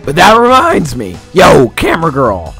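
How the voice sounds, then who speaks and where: A man voicing a boastful TV wrestler spoiling for a fight in a dramatic reading of game dialogue, loud and bombastic. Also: fairly high pitch, wildly swooping pitch, exaggerated voice. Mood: boastful challenge. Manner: loud, bombastic, drawn-out challenges. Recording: game music behind the voice.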